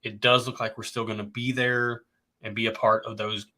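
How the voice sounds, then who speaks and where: A man's voice: fairly low pitch, flat delivery, normal speed, sharp tone. A man co-hosting a casual whiskey livestream, chatty and joking, his voice stuffed up and congested.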